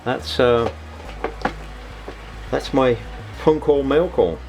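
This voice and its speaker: A man giving a casual, unscripted hobby vlog narration in a halting way, often repeating words. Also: medium pitch, dull audio.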